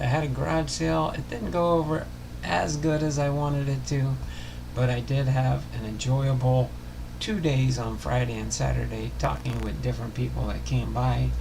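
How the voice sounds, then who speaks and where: Clear background noise sits under an older man's gravelly, creaky voice. He hosts a casual livestream, rambling along in a folksy, unhurried manner. His pitch sits around the middle for a man. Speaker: livestream host.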